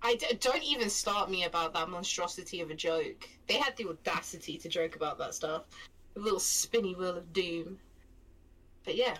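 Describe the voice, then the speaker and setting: A woman's voice: fairly low pitch, moderate pace, fairly bright tone, rough recording. A young woman with a London accent, co-hosting a stream in casual chat, rambling and self-mocking, laughing often. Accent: London English. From England. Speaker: stream co-host.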